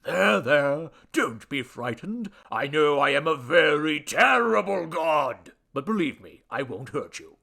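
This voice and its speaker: A man voicing a pompous, irritable ancient Babylonian god in a story, with grandiose, formal declamation and a rusty, booming voice. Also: big pitch swings, a little slow, vocal fry, hardly any pauses, pushed and bright, heavily acted. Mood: soothing, condescending. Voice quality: rusty booming foghorn bass.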